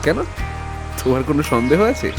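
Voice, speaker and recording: An actor playing a cocky, romantic, carefree young boyfriend with a Bengali accent in an animated love story. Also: male voice, medium pitch, fast, close to an everyday voice. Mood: confident reassuring. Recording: loud music behind the voice. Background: loud music.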